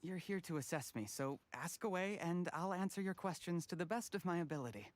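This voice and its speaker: A man's voice: high-pitched, animated, fairly quick, no room sound. An actor playing a polite young male candidate in a game, answering questions in a composed, slightly nervous way. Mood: earnest.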